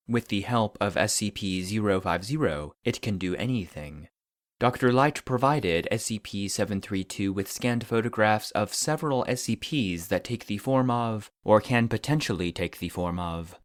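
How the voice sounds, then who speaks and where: Deep-ish guy reading case files, formal and clinical, no room sound at all. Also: dry recording, studio quality. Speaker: narrator. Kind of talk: scripted narration of fictional case files.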